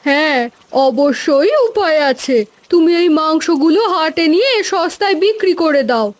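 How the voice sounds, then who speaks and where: A woman's voice: high-pitched, normal speed, close to an everyday voice. A woman voicing a cunning, confident village wife in a cartoon, talking insistently and persuasively. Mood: sly persuading. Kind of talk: cartoon voice acting.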